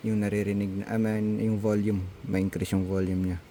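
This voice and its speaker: A man's voice: lowish voice, flat delivery, normal speed, warm tone. A man with a Filipino accent giving a casual hands-on gear demonstration, thinking aloud in a tentative way. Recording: faint background noise.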